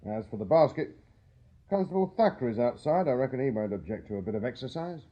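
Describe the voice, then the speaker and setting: An actor playing a shrewd, dry-witted Victorian police sergeant in a radio drama. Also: male voice, medium pitch, animated, quick pace, muffled recording, lightly acted. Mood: courteous. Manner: dry, wry questioning. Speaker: detective sergeant.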